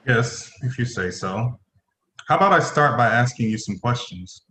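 A man playing a stern, formal middle-aged company executive in a play reading, halting and stumbling over his phrases. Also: medium pitch, lively intonation, bright, forceful tone. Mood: formal, guarded. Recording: roomy echoing voice.

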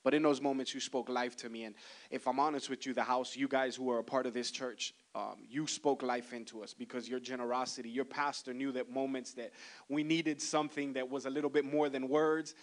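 A man's voice: mid-range voice, level tone, fairly quick. A male guest pastor, talkative and joking, opening his sermon to the congregation. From Cuban heritage. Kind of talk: sermon opening, casual and chatty.

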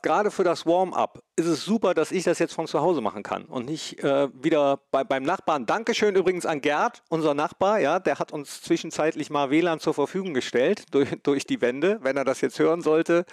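A man's voice: a little high, no echo, studio quality. A man hosting a podcast from home over a phone link, chatty and self-deprecating, stuffy with a cold. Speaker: podcast host. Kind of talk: casual remote chat.

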